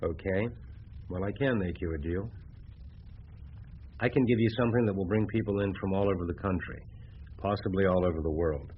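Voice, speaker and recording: An actor playing a confident city-bred deal-maker in a radio drama, speaking in a measured, clipped, assured way. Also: male voice, low-pitched, close to an everyday voice. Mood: cool, businesslike. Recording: dull radio recording with background noise.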